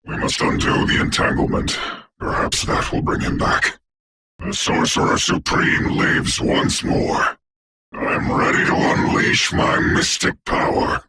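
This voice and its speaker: An actor voicing a commanding, haughty dark sorcerer for a video game, speaking in a formal, grandiose, deliberate way with a gravelly, breathy voice. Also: male voice, noisy background, natural voice. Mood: grave, urgent. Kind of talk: theatrical hero game voice lines.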